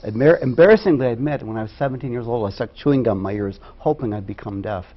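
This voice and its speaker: A man from Ohio answering interview questions about sign language, telling stories in an animated way. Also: mid-range voice, fast. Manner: animated storytelling. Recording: dull lo-fi studio recording.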